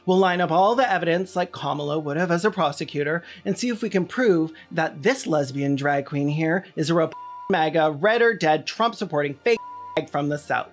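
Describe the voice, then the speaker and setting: A woman's voice: low-pitched, moderate pace, faint music behind. A woman video essayist delivering scripted commentary in a sardonic, theatrical manner.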